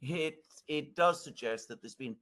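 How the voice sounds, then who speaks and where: A man with a slightly high voice talks politics conversationally. He is emphatic and halting, repeating words to stress them. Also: fairly bright tone, slight background noise.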